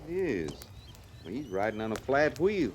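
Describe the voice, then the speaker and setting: A man playing a deadpan western cavalry sergeant. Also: medium pitch, moderate pace, lightly acted. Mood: dry, commanding. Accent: American, rural western. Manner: deadpan, laconic, folksy. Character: dry, wry, gruffly teasing cavalry sergeant. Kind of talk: old-time radio western acting. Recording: noisy old radio drama recording.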